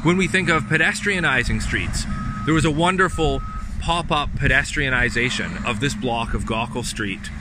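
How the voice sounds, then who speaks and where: A man speaks in a measured, explanatory way, pausing now and then to restart a phrase. His voice sits at an ordinary pitch. He is recorded outdoors on a city street, with clear traffic noise behind him. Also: expressive. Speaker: urban planning professor. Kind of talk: walking tour explanation.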